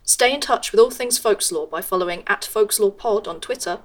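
Woman credits announcer, mid-pitched, plain and even. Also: moderate pace. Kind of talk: reading end credits.

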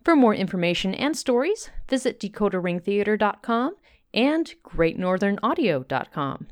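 A woman's voice: mid-range voice, very animated, moderate pace. A woman hosting, reading a scripted podcast outro over faint music. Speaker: host.

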